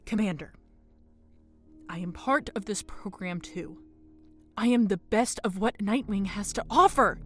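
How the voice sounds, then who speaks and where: A woman's voice: medium pitch, a little slow, long pauses, soft background music, close to an everyday voice. A woman playing a proud dragon warrior captain and young mother in an audio drama, defiant, earnest and resolute. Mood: proud pleading.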